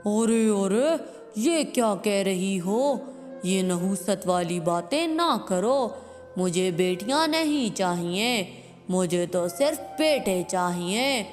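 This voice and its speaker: A woman's voice: mid-range voice, lively intonation, slow, darkish tone. Woman playing an angry husband bird, echoing reverb. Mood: threatening. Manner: domineering, menacing, scolding. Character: angry, threatening husband bird who wants only sons. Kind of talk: Hindi cartoon moral-story voice acting. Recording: long reverb with music behind.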